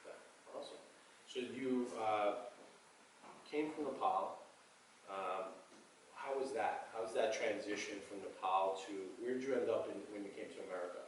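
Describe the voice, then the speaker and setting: Event host in a booming echoey room. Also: male voice, normal speed. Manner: loose, rambling questions with many restarts. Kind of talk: casual onstage interview. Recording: echoey room, far mic, background noise.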